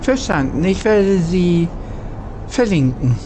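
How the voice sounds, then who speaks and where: A man's voice: sits high, lively intonation, slow pace, background noise. A man hosting a gameplay video, reading out game dialogue and adding casual comments over game sound. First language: German. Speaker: gameplay host. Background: faint game music.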